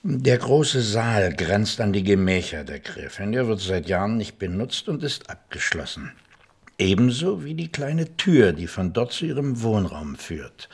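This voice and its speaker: An actor playing a greedy, good-humoured castle steward in a radio play, chatty in manner. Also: male voice, lowish voice, big pitch swings, unhurried, husky, heavily acted. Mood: jovial.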